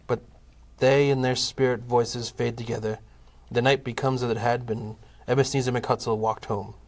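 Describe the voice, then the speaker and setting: A man narrating a scripted comic audio play in a measured, formal storytelling manner. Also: medium pitch.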